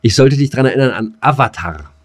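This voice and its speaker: A man's voice: mid-range voice, expressive, brisk, dry recording. A man co-hosting a podcast in casual, blunt, slangy banter that tips into ranting. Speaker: podcast co-host.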